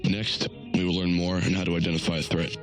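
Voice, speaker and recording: Man acting as a very deep, formal training-video narrator. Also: level tone, faint music behind, natural voice. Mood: formal, ominous. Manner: formal, measured, impersonal. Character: stern male narrator of a police training video. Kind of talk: scripted instructional narration, analog horror game.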